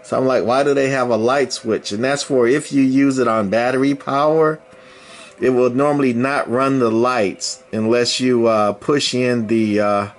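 Male hobbyist presenter, medium pitch, casual and unscripted, halting matter-of-fact explanations, handheld camera sound. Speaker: hobbyist presenter demonstrating radio gear. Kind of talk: casual unscripted product demonstration. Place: indoors at a home radio bench. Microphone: handheld camera mic, speaker behind camera.

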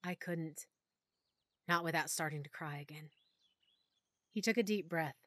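A woman narrating a first-person audiobook in a soft, emotional storytelling manner. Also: low voice, measured pace, dry recording.

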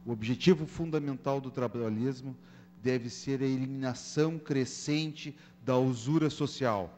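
A middle-aged man delivers a measured, formal political speech, partly read. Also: on the high side. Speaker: city councillor. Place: large council chamber, indoors. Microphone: gooseneck podium microphone. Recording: echoey hall, mains hum and noise.

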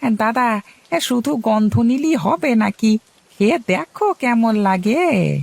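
A woman's voice: a little high, lively intonation, slow, vocal fry, darkish tone, no room sound, heavily acted. A woman voicing Ramu, an eager, servile young male shop boy in a cartoon who calls out to customers in a sing-song patter.

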